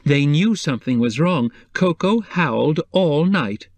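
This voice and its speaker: A man's voice: fairly high pitch, lively intonation, unhurried, no room sound, voice pushed up. A man voicing a fretful, motherly middle-aged woman who keeps house, in a raised voice. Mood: worried.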